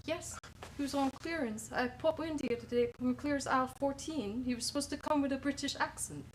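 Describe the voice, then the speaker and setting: A woman plays a haughty wife who speaks in a breezy, dismissive way. Her voice is high, with faint noise behind it. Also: brisk, natural voice, rough recording.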